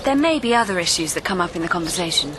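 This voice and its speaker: An actress playing a young British television researcher in a radio drama, precise, matter-of-fact and inquisitive. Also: female voice, fairly low pitch, lively intonation, racing, a bit bright. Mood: businesslike. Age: young adult. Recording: clear background noise.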